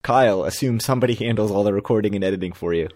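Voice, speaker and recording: Chatty, self-deprecating American man, low-pitched. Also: rapid-fire, a little mellow. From United States. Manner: rambling, self-deprecating, laughs often. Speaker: podcast co-host. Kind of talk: casual chat.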